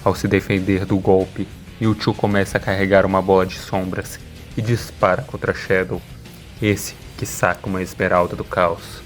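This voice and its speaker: A man's voice: deep voice, flat delivery, normal speed, soft background music. A man with a Brazilian accent reading dramatic scripted narration.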